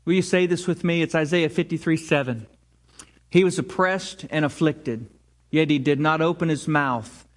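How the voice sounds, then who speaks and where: Pastor with a slightly high voice. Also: male voice, moderate pace. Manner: inviting, leads scripture reading. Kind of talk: sermon.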